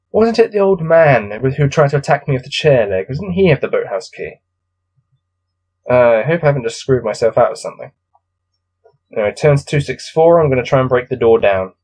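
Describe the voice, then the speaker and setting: A man's voice: mid-range voice, a little mellow. A man reading a gamebook aloud as host of a let's-play, musing to himself with casual, self-deprecating asides.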